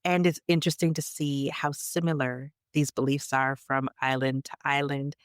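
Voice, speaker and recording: A woman's voice: very deep voice, little pitch movement, moderate pace, smooth, no echo, studio quality. A woman from Canada chatting on a podcast as a storyteller guest, emphatic and reflective in how she talks. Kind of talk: conversational podcast chat.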